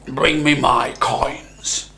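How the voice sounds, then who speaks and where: A man voicing a huge, greedy male giant who bellows slow, menacing chants in a storytelling. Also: a little high, steady tone, vocal fry, pushed and bright, exaggerated voice. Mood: demanding.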